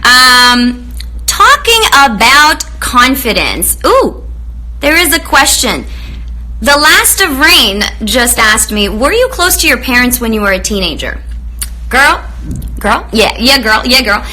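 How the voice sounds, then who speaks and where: A woman's voice: a little high, sing-song, slow, low-quality recording. A woman from Romania hosting a live online show, chatting with viewers in an effusive way with lots of fillers. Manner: effusive, greets viewers warmly, many fillers. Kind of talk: live-stream chat with viewers.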